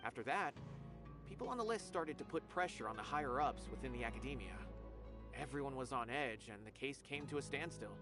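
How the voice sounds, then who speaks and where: A man's voice: mid-range voice, animated, a little fast, lightly acted. Game role: man as dutiful officer, mid-range voice, articulate and courteous, music underneath. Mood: earnest, relieved. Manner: polite, articulate, orderly. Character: earnest, dutiful male officer giving a report. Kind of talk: scripted video game acting.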